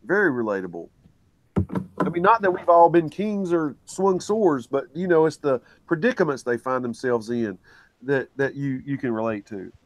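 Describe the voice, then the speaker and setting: A man appearing as a guest on a casual online panel, earnest and trailing off softly, on a home computer mic during a video call. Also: mid-range voice, lively intonation, moderate pace, dry recording. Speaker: guest YouTuber.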